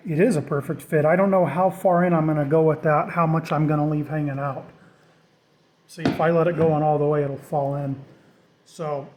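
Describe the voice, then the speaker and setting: A man's voice: a little high, a little mellow. A man narrating a do-it-yourself project in a casual, off-the-cuff way, thinking aloud informally as he goes. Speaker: DIY vlogger.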